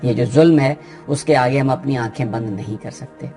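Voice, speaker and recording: A man with a Pakistani Urdu accent explains political news in an unscripted, steady way, often pausing with "uh" as he thinks. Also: mid-range voice, soft background music. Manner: steady explanatory delivery with frequent hesitations.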